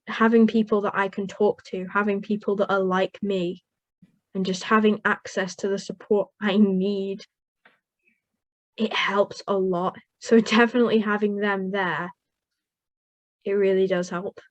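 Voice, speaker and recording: A woman's voice: medium pitch, level tone, a little slow, no room sound, dull audio. Young Welsh-speaking woman, dry sound. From Wales. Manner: earnest, upbeat, laughs often. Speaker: young carer guest. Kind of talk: interview answers.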